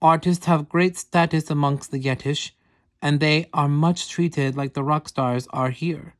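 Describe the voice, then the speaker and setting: A woman voicing an earnest young female yeti, reading her diary in stiff, formal, broken English. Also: low voice. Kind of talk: reading diary entries aloud.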